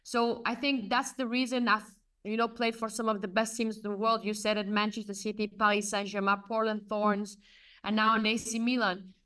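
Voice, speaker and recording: A woman's voice: mid-range voice, moderate pace, distant mic. Earnest woman talking over a video call. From Afghanistan. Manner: earnest, animated storytelling. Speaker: guest. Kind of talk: conversational interview answers. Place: home room, indoors.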